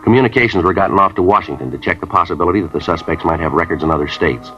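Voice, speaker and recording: A terse, methodical police sergeant in an old radio crime drama, clipping out facts in a very deep voice and at a brisk pace. The broadcast is dull, with faint music underneath. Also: male voice. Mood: narrating. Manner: flat, matter-of-fact, clipped. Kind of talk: clipped 1950s radio police procedural narration.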